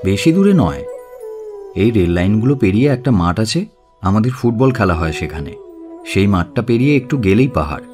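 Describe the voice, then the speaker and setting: A man's voice: fairly low pitch, big pitch swings, moderate pace, over music. A man playing an eager, polite and chatty young college student in a Bengali radio drama. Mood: polite, helpful.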